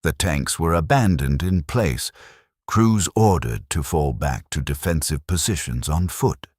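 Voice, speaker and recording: A man narrating a scripted first-person war story in a grave, measured way. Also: a bass voice, expressive, a little mellow, slightly rough, no room sound.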